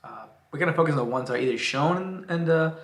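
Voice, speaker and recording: A man's voice: on the high side, quick pace, gravelly. A man with a Cuban accent talking casually to the camera as a book vlogger, chatty and rambling. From Cuba. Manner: chatty and rambling, with frequent uh and restarts. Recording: roomy echo.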